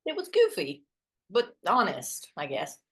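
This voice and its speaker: An actress playing an awkward, literal-minded young woman in a play reading, a hopeless romantic with a hesitant, wry deadpan manner. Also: medium pitch, unhurried. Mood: wistful, self-mocking. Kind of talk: two-hander stage play reading.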